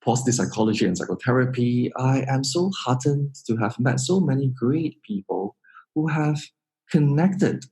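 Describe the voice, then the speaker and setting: A man from Singapore, hosting a solo podcast introduction in an earnest, measured way. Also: mid-range voice, dark tone. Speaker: podcast host.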